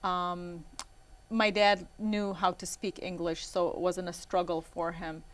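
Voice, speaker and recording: A woman in her forties, born in Iraq and raised in Michigan, answers interview questions in a relaxed, rambling way. Her voice sits a little low, and she fills her pauses with ums and you-knows. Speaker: guest. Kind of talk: casual interview talk.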